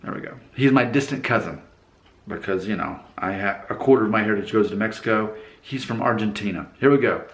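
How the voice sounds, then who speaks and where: A man's voice: lowish voice, fairly quick, echoey room. A man hosting his own channel, speaking to camera in a candid, emphatic, preachy monologue. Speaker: YouTube channel host. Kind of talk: casual talking-to-camera monologue.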